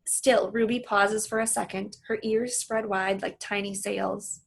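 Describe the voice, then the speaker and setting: Expressive woman reading a children's story, husky voice. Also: medium pitch, measured pace, rough recording. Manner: animated, acts out the dialogue. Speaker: reader reading a children's book aloud. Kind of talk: expressive read-aloud narration with asides to listeners.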